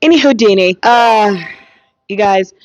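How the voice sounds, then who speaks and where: Slightly hoarse young woman musing slowly. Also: medium pitch, dry recording. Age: young adult. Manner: reflective, thinking aloud. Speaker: podcast host. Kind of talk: casual solo podcast monologue.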